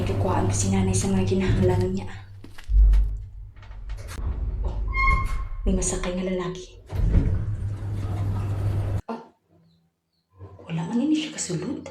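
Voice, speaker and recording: A woman's voice: mid-range voice, background noise, lightly acted. A woman playing an anxious young student daughter in an amateur radio drama, with a Visayan Filipino accent. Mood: subdued. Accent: Filipino, Western Visayas. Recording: echoey, loud music bed. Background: loud music.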